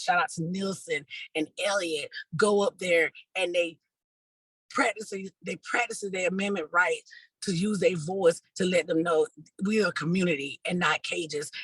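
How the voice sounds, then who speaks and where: Husky, bright-voiced woman activist being interviewed. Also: lowish voice, no echo, low-quality recording. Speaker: community organizer and activist, interview guest. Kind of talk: conversational interview answers.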